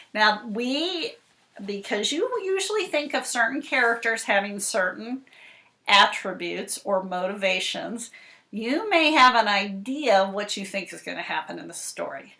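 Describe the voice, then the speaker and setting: School librarian, woman: slow, bright, explanatory read-aloud talk, stressing key words for young kids. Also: mid-range voice, animated, creaky.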